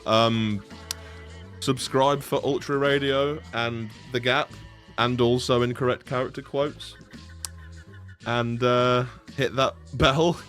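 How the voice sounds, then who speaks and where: A man's voice: medium pitch, a bit bright. A man in his twenties hosting a casual, playful, sweary tutorial, speaking close to a desk microphone in a bedroom. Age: 20s. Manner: playful, sweary, mock-teasing. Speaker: tutorial host. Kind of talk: casual jokey software tutorial. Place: small bedroom, indoors. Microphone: desk boom-arm microphone, close.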